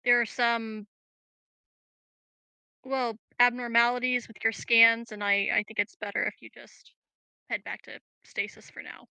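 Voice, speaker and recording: Bright-voiced woman playing a tabletop game. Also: fairly high pitch, level tone, measured pace, creaky, long pauses, smooth, no room sound, low-quality recording. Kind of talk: casual chat during a role-playing game.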